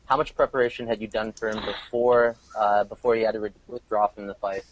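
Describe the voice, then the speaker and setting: A man's voice: on the low side, level tone, a little fast, a little hiss or hum, poor audio. A man working as a reporter, politely putting questions to guests on a press conference call.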